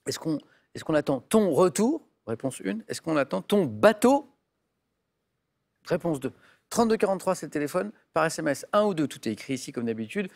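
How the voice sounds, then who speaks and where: Upbeat higher-voiced male quiz host. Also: sing-song, a little fast, gravelly, a little mellow. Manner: upbeat, chatty, reads clues with emphasis. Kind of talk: lively game show hosting. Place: television studio.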